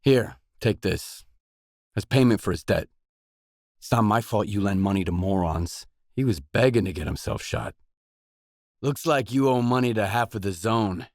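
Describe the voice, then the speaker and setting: Low-voiced, terse wasteland mercenary. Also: male voice, animated, normal speed, a little mellow, no room sound, clean studio sound. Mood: firm, defiant. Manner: terse, matter-of-fact. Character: blunt, self-reliant young male mercenary stalker in a wasteland. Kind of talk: video game dialogue lines.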